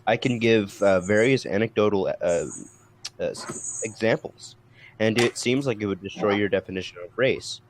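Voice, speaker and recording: A man in a casual group debate, challenging others in a methodical, halting way on a noisy recording. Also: on the low side.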